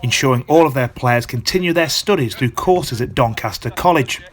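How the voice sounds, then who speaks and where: A man's voice: medium pitch, normal speed. Man giving polished sports narration. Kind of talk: scripted narration.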